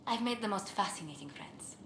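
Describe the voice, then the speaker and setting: A woman's voice: medium pitch, animated, fairly quick, vocal fry. A woman playing a refined, gracious and wistful lady ambassador in a video game. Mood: reflective, gracious. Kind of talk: video game dialogue acting. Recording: roomy, noisy ambience behind.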